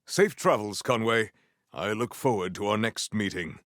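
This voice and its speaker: An actor playing a grave, honour-bound warrior with wry formality and archaic, theatrical diction in a video game. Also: male voice, lowish voice, normal speed, a bit bright, no echo, studio quality, close to an everyday voice. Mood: dryly amused. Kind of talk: video game character voice lines.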